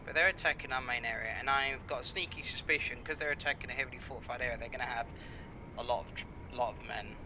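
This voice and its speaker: A man's voice: mid-range voice, quick pace, noisy background. Nasal man with a cold, rambling. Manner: rambling, many ums and you-knows. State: has a cold, a bit nasal. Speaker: gameplay commentator. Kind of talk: casual gameplay commentary.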